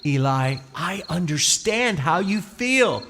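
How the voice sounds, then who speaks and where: A man playing a patient, gentle but firm Israelite father in a children's puppet show, explaining things deliberately like a bedtime storyteller. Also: high-pitched, sing-song, slight background noise, exaggerated voice. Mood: patient, serious. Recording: long hall reverb, music underneath.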